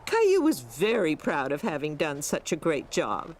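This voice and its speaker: A woman narrating a children's cartoon as a gentle storyteller, with music behind her. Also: low-pitched, huge pitch swings, moderate pace, slight background noise. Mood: storytelling. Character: gentle female storyteller narrating a small boy's day.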